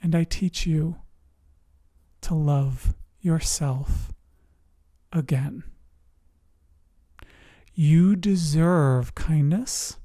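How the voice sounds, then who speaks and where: A resilience coach telling personal stories in a motivational talk, speaking earnestly and deliberately in short phrases with pauses. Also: mid-range voice, warm tone, dry recording, clean studio sound. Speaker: host, emotional resilience coach.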